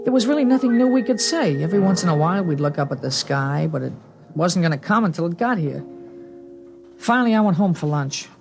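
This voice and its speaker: A man's voice: sits high, expressive, brisk, creaky, faint music behind. An actor playing an earnest, reflective teenage boy who narrates a 1950s radio drama.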